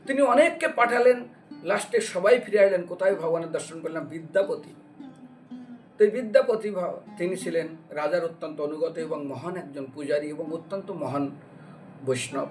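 A man's voice: on the high side, moderate pace. A man giving a devotional lecture as a story, acting out dialogue and putting questions to his listeners. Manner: animated retelling with acted dialogue and questions to listeners. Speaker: religious preacher and storyteller. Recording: distant mic, music behind the voice.

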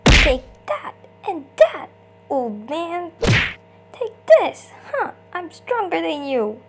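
A woman voicing a bratty, boastful little child in an amateur comic dub, loud and taunting. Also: extremely high pitch, very animated, takes it slowly, loud music behind the voice, voice pushed up, full character voice. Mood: taunting, defiant.

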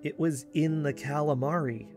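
An agitated amateur investigator played by a man, halting and insistent. The voice is mid to higher male pitch with faint music behind, in conversational audio drama style. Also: fairly even intonation, a little mellow, natural voice.

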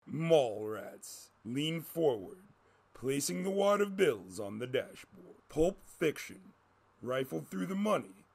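A man's voice: fairly high pitch, big pitch swings, slow pace, creaky, darkish tone, breathy edge. A man reading a scripted story as a dramatic narrator.

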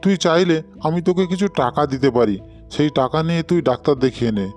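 A man plays a well-to-do village employer in a cartoon, paternal and matter-of-fact. The voice is fairly high for a man and quick, with light music underneath. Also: expressive, a little mellow, natural voice. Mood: advising. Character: paternal, well-off village boss advising his worker. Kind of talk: Bengali moral-story cartoon acting.

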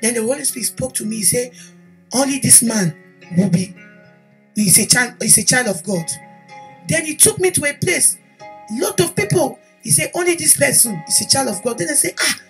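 A woman from Cameroon with a French-Cameroonian accent tells a church testimony in English. Her voice sits a little high, and she speaks earnestly, restarting phrases as she goes. Faint music plays behind her at a desk microphone. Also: expressive. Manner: earnest storytelling with pauses and restarts. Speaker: testimony giver. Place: indoor room at home. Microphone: desk microphone in front.

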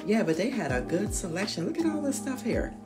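A woman's voice: medium pitch, sing-song, fairly quick, over music. A woman in her fifties chatting folksily to viewers on a handheld phone while shopping and sitting in her car. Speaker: vlogger. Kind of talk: casual shopping vlog. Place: store aisles and parked car. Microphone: handheld phone camera.

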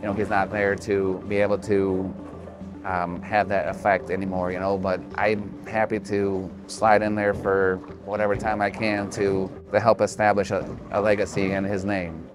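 A middle-aged man answering interview questions in a bowling alley, soft-spoken and halting, often repeating words. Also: fairly low pitch, flat delivery, over music. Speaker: interviewee, bereaved father.

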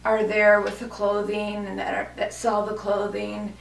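A woman in her thirties, hoarse from a cold, chatting casually and gushing about clothes on camera in a small room, with lots of fillers. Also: mid-range voice, monotone, a little slow. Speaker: fashion vlogger. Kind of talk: casual clothing haul chat. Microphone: camera mic from a few feet away. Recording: echoey room, mic at a distance.

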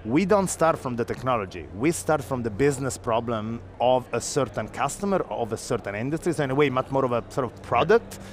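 Italian-accented man at a busy convention. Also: medium pitch. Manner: fast, energetic, gesturing, restarts. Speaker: guest, foundation executive. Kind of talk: conference interview. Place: busy convention hall floor.

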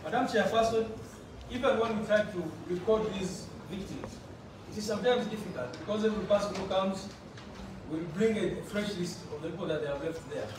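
A man giving formal prepared testimony to a parliamentary committee, reading in a measured way and addressing the chair, recorded from a distance in a large wood-panelled room. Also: high-pitched. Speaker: party representative presenting to a parliamentary committee. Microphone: far camera. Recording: echoey room recorded from afar with noise.

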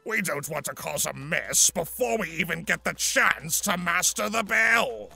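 A gruff, sardonic centaur villain from a cartoon audio story. The voice is heavily creaky and forceful, with slow, deliberate delivery over background music. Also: male voice, on the high side, full character voice. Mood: stern. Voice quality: gravelly. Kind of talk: animated-series fan fiction audio drama. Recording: music under the voice.

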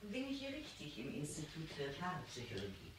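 An actress playing a hesitant, earnest woman visitor in a German radio play, speaking haltingly and emphatically. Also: low-pitched, huge pitch swings, crowd noise. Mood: hesitant, polite.

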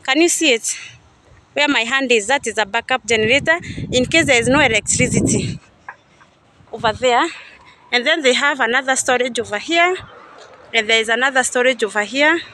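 Young woman, high bright voice, casual vlog guide who thinks aloud; phone mic outdoors with faint background noise. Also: moderate pace, long pauses. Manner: chatty, unsure, thinking aloud. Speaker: vlogger touring a coffee farm. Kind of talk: casual guided walk-through. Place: outdoors at a coffee farm and factory. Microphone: phone camera held by speaker.

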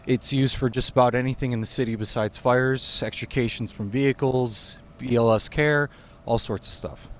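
Male firefighter, 30s, mid-pitched, terse and factual, faint rumble behind him outdoors. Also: fairly even intonation, normal speed, fairly bright tone. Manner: matter-of-fact, terse. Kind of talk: live interview. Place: outdoors on a fire truck ladder. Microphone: reporter's handheld microphone.

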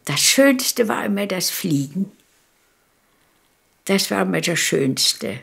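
Very creaky centenarian woman. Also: mid-range voice, animated, takes it slowly, a bit bright. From Königsberg, East Prussia, Germany. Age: about 100. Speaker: documentary interviewee, eyewitness recalling her life. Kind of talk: reminiscing in an interview. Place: furnished living room, indoors.

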